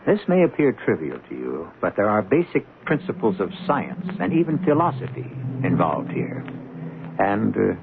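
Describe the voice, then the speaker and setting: A man hosting and narrating a radio mystery in a theatrical, wry, rhetorical and deliberate style. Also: fairly low pitch, huge pitch swings, creaky, a crowd in the background. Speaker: host and narrator. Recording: muffled radio broadcast recording with music.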